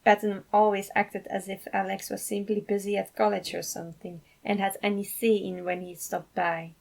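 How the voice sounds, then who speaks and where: A woman narrating a piece of fan fiction in an expressive storytelling style. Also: medium pitch, moderate pace, vocal fry, rough recording.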